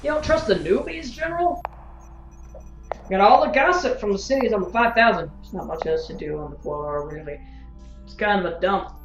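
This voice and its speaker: A woman's voice: medium pitch, expressive, normal speed, soft background music, close to an everyday voice. The bored mercenary pundit lounging in a sci-fi radio play's war room, acted by a woman, flippant and needling, dull-sounding in a reverberant room. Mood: bored, teasing. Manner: flippant, teasing, prodding. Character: bored, needling, cynical hired war commentator. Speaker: actor in an audio drama. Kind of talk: audio drama acting.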